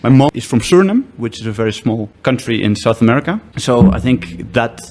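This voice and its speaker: A Dutch-accented man in his thirties talks in a laid-back, self-deprecating way as a podcast guest. His pitch sits in a middle range, and he often circles back and restarts a sentence as he tells his stories. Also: animated, a little fast, darkish tone. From Netherlands. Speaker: guest, company CEO. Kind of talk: casual podcast conversation.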